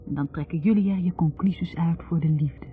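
An actress playing a wounded, bitter young academic woman confessing in a halting, accusing way in a 1960s radio drama. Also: on the low side, faint music behind, natural voice. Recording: tinny narrow-band 1960s radio recording.

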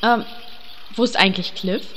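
A woman's voice: medium pitch, steady tone, normal speed, big-room sound, heavy background noise, lightly acted. A woman voicing a bossy female elf who leads the group, speaking in a commanding, exasperated way.

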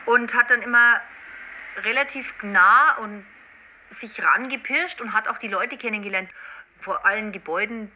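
A woman's voice: mid-range voice, sharp tone, a little hiss or hum. A woman giving casual, colloquial commentary as an interviewee, explaining history spontaneously.

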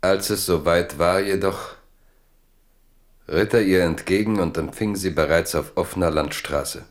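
A man narrating a historical radio drama in a measured, literary, dryly ironic manner. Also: sits low. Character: measured, ironic male chronicler of a royal history.

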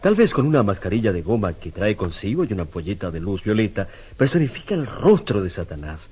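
Fervent, obsessive artist for radio drama: theatrical, very animated, rough-edged voice. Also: male voice, fairly low pitch, fairly quick, exaggerated voice. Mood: excited, fascinated. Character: excitable, obsessive male sculptor.

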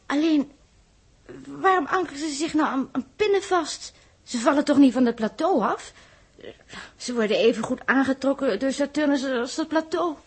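A woman's voice: sits high, expressive, moderate pace. An actress playing an anxious young girl on a spaceship in a 1960s radio drama, plaintive and questioning. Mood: fretful, curious.

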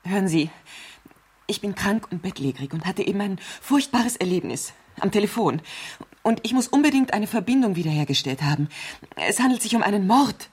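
An actress playing an anxious, imperious bedridden rich wife in a 1970s German radio thriller, urgent and impatient. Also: female voice, medium pitch, animated, darkish tone, husky. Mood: agitated, urgent. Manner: urgent, agitated, impatient, haltingly insistent.

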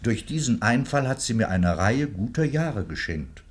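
A man's voice: medium pitch, very animated, normal speed, close to an everyday voice. A man playing a kindly, indulgent old provost in a literary radio drama, affectionate and a little hesitant. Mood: fond, playful. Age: older.

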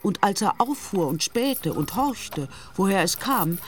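A man's voice: high voice, very animated, unhurried. A man playing the storyteller of a chivalric radio play in literary narration. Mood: storytelling.

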